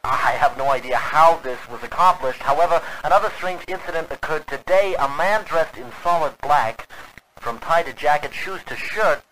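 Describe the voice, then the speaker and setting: An actor playing an earnest 1920s radio man recording a formal, measured account in an audio drama. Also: on the high side, sharp tone. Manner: formal, old-fashioned, measured diction. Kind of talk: old-time radio drama acting, scripted narration. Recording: noisy old radio-style recording.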